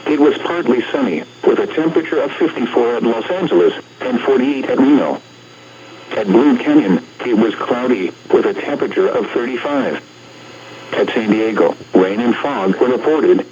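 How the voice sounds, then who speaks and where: Automated male voice, American accent, mid pitch, scripted weather bulletin, impersonal segmented delivery, dull radio sound. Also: animated, normal speed, a little mellow. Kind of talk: scripted weather report reading. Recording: muffled, noisy weather radio broadcast.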